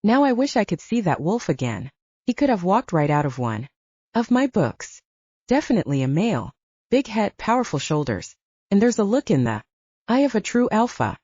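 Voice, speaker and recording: A woman's voice: mid-range voice, sing-song, moderate pace, mellow, husky, no room sound, highs cut off. A woman voicing a frank, curious young female novelist in an audiobook. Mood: admiring, wistful. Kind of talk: audiobook character dialogue.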